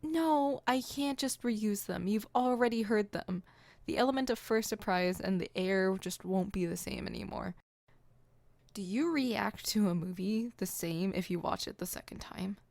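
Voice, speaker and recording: A woman's voice: mid-range voice, moderate pace, gravelly, a little mellow, dry recording, close to an everyday voice. A woman playing a theatrical, poetic supervillain in an ASMR roleplay, haughty and dramatic, stammering when flustered. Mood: flustered, indignant.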